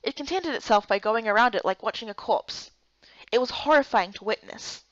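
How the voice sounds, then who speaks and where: A woman giving expressive, dramatic first-person narration for a visual novel story. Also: on the high side, moderate pace, dry recording, dull audio. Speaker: narrator and voice actor.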